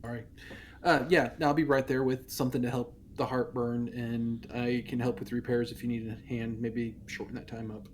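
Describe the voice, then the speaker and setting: Even-toned man playing a tabletop game. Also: mid-range voice, normal speed. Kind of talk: casual in-game discussion. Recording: faint background noise on live stream.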